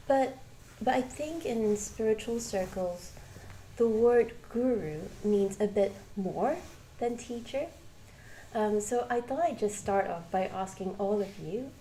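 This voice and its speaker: A woman from Malaysia, teaching a live Buddhist class and asking the group questions, pausing often with ums and restarts. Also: mid-range voice, dark tone, a little hiss or hum. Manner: hesitant, many ums and restarts. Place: shrine room with a live class. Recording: roomy hall ambience.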